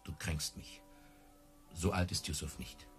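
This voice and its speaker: An actor playing an earnest, easily hurt big-cat tamer in love, in a radio play. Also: male voice, very deep voice, steady tone, measured pace, a bit bright, breathy edge, soft background music, exaggerated voice. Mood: earnest, defensive.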